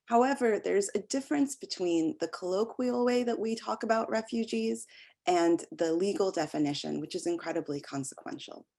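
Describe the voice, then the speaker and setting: An American woman, an academic researcher, giving an explanatory slide lecture in a halting way with frequent pauses and ums. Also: medium pitch, vocal fry, warm tone. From United States.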